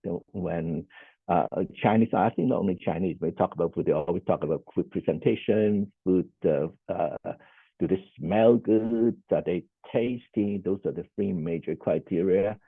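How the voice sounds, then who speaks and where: A very deep-voiced man presents as a social worker over a video call, with a husky, gravelly tone. He talks in a measured, deliberate way and sums up each point with "okay". The sound is tinny and phone-like, close and dry, with no room echo. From Hong Kong. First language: Cantonese. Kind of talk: conference presentation.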